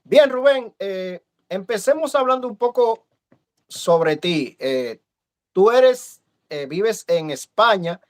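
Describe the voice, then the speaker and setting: A man's voice: sits high, lively intonation, slow pace. A man hosting a live video-call interview, friendly and hesitant, with many fillers. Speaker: host. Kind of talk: casual live-stream interview.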